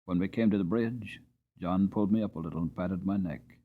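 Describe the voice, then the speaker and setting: A man giving an expressive, dramatic reading of a classic storybook as narrator. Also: low-pitched, fairly quick, phone-quality sound. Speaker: audiobook reader.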